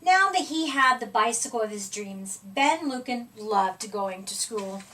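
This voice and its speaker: A woman reading a children's picture book aloud expressively, with deliberate dramatic emphasis and stretched-out words. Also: fairly high pitch, animated, sharp tone, far from the mic, slight background noise. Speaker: storybook reader.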